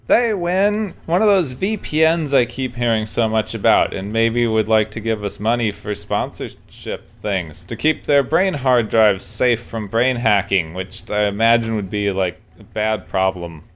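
A man's voice: lowish voice, measured pace, few pauses, fairly bright tone. A man co-hosting a casual podcast, riffing with dry jokes and playing the game-show host. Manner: dry, joking riffs.

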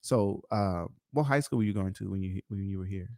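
A man's voice: deep voice, brisk, creaky, dark tone, no room sound, studio quality. A man hosting a casual interview podcast, slangy and teasing, often laughing. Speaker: podcast host.

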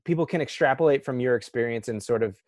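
A man hosting a talk show, asking questions in a halting, searching way. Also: mid-range voice, darkish tone, dry recording, clean studio sound. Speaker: host. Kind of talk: interview questions.

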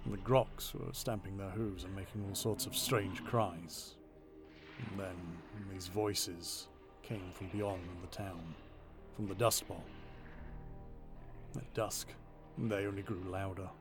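Man acting a stern male military commander, audio drama, low pitch, terse, commanding, hardened veteran. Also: steady tone, takes it slowly, over music. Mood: grave.

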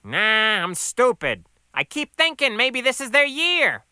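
A man voicing a whiny, sad-sack diner cook with a plaintive, drawn-out delivery in an audiobook. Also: very high voice, sing-song, pushed and bright, clear, resonant tone, no room sound, clean studio sound, pitched above the performer's own voice, exaggerated voice. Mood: exasperated.

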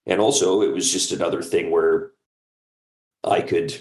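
A man with an exceptionally deep voice. He chats casually as a guest in an interview, talking like a creator at ease explaining his work. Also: fairly even intonation, normal speed, darkish tone. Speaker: audio drama creator and actor, interview guest.

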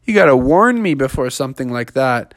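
A man voicing an excitable, easygoing young male bear who talks in surfer slang and exclaims a lot. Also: mid-range voice, fairly quick, no room sound, studio quality. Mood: excited.

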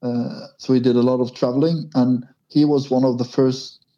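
A Norwegian man speaking English with a Norwegian accent as a podcast guest, in a casual, halting way. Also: mid-range voice, little pitch movement, warm tone. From Norway. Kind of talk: casual conversation. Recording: dull lo-fi sound.

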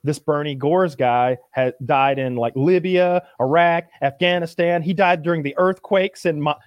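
A man with a slightly high voice, rambling through a casual podcast chat. He leans on filler words and restarts, and his dry, close recording has almost no room sound. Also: moderate pace, no echo, dull audio. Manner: rambling, repeats "like" often. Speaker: podcast co-host.

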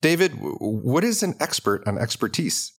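A man's voice: mid-range voice, very animated, moderate pace, husky. A man hosting a podcast, reading a guest introduction and then asking questions with some restarts. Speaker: podcast host.

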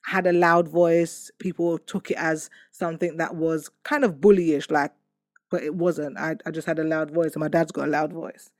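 A woman's voice: deep voice, fairly even intonation, moderate pace, no echo, clean studio sound. A woman giving a personal testimony, talking candidly and reflectively with many fillers and restarts. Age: adult. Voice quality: loud voice. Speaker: testimony speaker.

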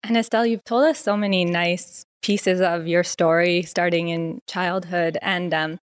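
A woman asks an interview guest slow, measured questions. Her voice sits somewhat low. The recording is dry and close, with no room around it. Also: steady tone, clean tone, dry recording.

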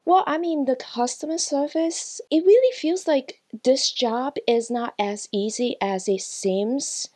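Slow, high-voiced woman guest. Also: dark tone. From China, grew up in Hong Kong. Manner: earnest, with some non-native grammar. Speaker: customer service employee, guest. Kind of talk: conversational interview.

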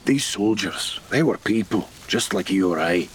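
An actor playing an elderly, gruff great-grandfather and retired railwayman in an audio drama, speaking in folksy, old-fashioned phrases. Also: lowish voice, expressive, normal speed, a little hiss or hum, lightly acted, low-quality recording. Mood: earnest, defensive. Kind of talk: naturalistic audio drama acting.

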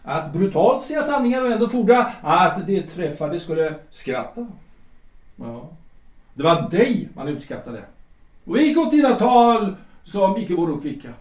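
A man's voice: sits high, very animated, a little mellow. An actor playing a wry male guide in the afterlife who questions and judges a newly dead man, in a probing, teasing manner. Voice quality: probing, teasing, reads out verdicts with pauses. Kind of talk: amateur audio drama acting. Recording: echoey room.

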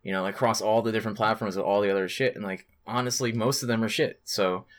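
Low-voiced, sweary casual podcast guy. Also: steady tone, fast. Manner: sweary, rambling, informal. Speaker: podcast co-host. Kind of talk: casual gaming podcast chat.

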